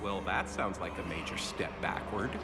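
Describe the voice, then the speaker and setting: An actor playing an awkward, eager human fan in a video game cutscene, talking in a nervous, rambling way. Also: male voice, low voice, moderate pace, vocal fry, background noise. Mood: flustered.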